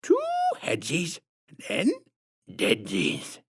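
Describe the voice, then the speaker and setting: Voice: mid-range voice, wildly swooping pitch, drawn-out words, fairly bright tone, breathy edge, full character voice. A narrator voicing a huge, sinister female clown who talks in sickly sweet baby-talk rhymes. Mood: sickly sweet menace. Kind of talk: storybook reading.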